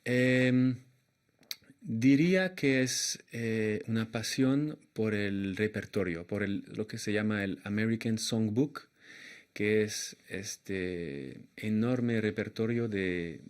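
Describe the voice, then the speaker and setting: A young man speaks with a slight French accent, his voice sitting fairly low. He talks very slowly and hesitantly, pausing and restarting as he reaches for words while answering an interviewer. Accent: slight French accent in Spanish. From Argentina and France. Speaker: jazz pianist and singer, interview guest.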